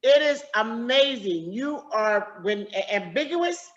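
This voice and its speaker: A woman's voice: on the high side, lively intonation, slow pace, muffled recording. A woman hosting a conversational interview in an effusive, complimentary manner. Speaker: interviewer, host.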